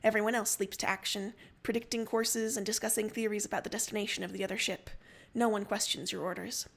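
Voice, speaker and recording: A woman reads fiction aloud as a storyteller, at a middle pitch. She narrates in the second person with dramatic intent, as on a story livestream. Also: steady tone, fast talker, creaky, fairly bright tone.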